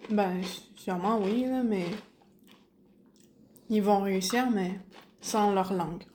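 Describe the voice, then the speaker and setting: A woman's voice: medium pitch, slow pace, a little mellow, slight background noise. A young woman with a Quebec French accent, answering interview questions hesitantly and searching for words. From Innu community, Quebec. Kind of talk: casual interview.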